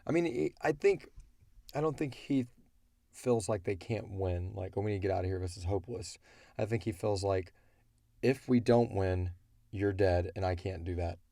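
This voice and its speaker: A man with a deep, gravelly voice discusses a story casually. He rambles in a colloquial way, and the sound is close and dry. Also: moderate pace, warm tone, dry recording. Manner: rambling, colloquial, often voicing characters' thoughts. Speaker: audio drama creator answering listener questions.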